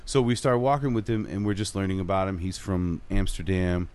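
A man's voice: low-pitched, a little fast, smooth. A man telling a story in casual podcast chat, acting out the people he quotes. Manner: animated storyteller, acts out quoted voices. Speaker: podcast guest.

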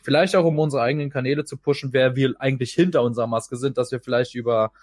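Male podcast host, casual and wordy, mid-pitched voice, dry recording. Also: fairly even intonation, fast talker, no echo. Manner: rambling, wordy. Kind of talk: casual talk.

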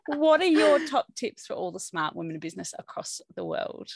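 A woman's voice: on the low side, wildly swooping pitch, brisk, creaky, husky, no echo. Affirming middle-aged woman host, dry sound. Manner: warm, affirming, calls guest "my love". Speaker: podcast host. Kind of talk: conversational interview.

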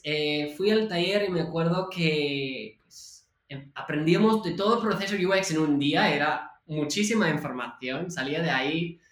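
American man, roomy echoey sound. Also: a little high. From United States. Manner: chatty, halting, frequent fillers and restarts. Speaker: podcast guest. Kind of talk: casual interview storytelling. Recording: roomy echo.

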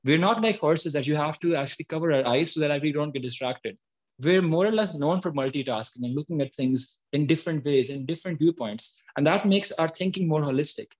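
A man's voice: on the high side, a little fast, low-quality recording. A man, talking earnestly and explaining his views as an activist guest in an interview. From Pakistan. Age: early 20s. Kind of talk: spontaneous interview talk.

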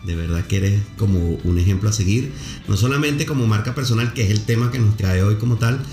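A talkative male podcast host with a low voice and an effusive manner. He explains his points at length. His voice sits in an echoey room with faint music underneath. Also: fairly quick, a little mellow. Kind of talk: podcast interview.

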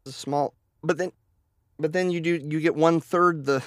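A man's voice: fairly high pitch, fairly even intonation, moderate pace, dry recording. A man co-hosting a podcast, rambling and laughing in casual banter, stuffed up from allergies. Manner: rambling, laughs at his own setup. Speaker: podcast co-host.

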